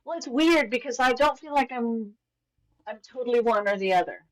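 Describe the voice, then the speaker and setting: A woman's voice: fairly high pitch, expressive, normal speed, rough recording. A middle-aged woman from Venezuela, a podcast guest telling stories of her upbringing in an easygoing, enthusiastic way, with frequent restarts. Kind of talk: casual conversational storytelling.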